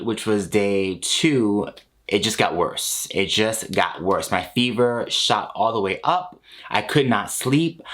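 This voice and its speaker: A man in his thirties talks straight to the camera from a small home studio, recounting his story in an animated, expressive way. His voice sits on the lower side, with laughs slipping in between lines. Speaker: vlogger.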